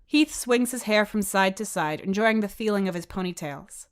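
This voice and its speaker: A woman's voice: medium pitch, moderate pace, smooth. A woman reading scripted story narration as the narrator. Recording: music behind the voice. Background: music.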